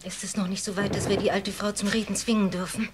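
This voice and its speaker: An actress in a German audio drama playing a ruthless, impatient female spy with a husky, insistent delivery. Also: medium pitch, level tone, fast talker, noisy background, close to an everyday voice.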